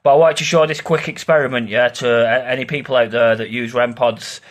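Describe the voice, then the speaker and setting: A man hosts an informal explainer video in a medium-pitched voice. He talks in a rambling, conversational way, often tagging his phrases as he works through his points. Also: steady tone, a little fast. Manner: rambling, repeats "yeah" and "obviously" as tags. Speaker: paranormal investigator and video host.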